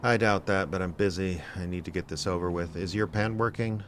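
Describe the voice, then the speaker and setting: An actor playing a wry, weary male private investigator, deadpan and sardonic, in radio drama narration and dialogue. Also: low voice, brisk, slight background noise. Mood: dry, curt. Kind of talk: first-person noir narration and dry radio drama dialogue.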